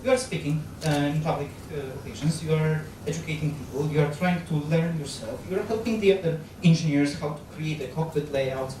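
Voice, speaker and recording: Man with Polish accent, thirties, lecturing on stage, fairly high voice, booming hall echo, distant mic. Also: darkish tone, slight background noise. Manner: halting, with frequent fillers, restarts and gestures. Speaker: guest lecturer on astronaut training. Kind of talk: public lecture. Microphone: handheld microphone. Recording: very echoey hall, captured from far off.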